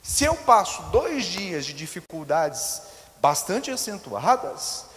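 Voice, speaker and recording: High-voiced Brazilian pastor preaching in a reverberant church. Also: male voice, sing-song, takes it slowly, a bit bright. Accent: Brazilian Portuguese. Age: 40s. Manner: storytelling with rhetorical asides to the congregation. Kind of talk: sermon. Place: church sanctuary. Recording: long reverb of a church hall.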